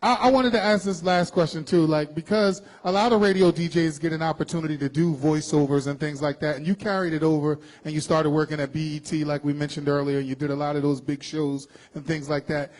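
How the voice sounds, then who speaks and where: A man's voice: a little high, fairly even intonation, fast talker, few pauses, darkish tone, poor audio. A man hosting an interview, asking long questions and affirming his guest in an encouraging way. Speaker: interview host. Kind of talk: casual interview talk.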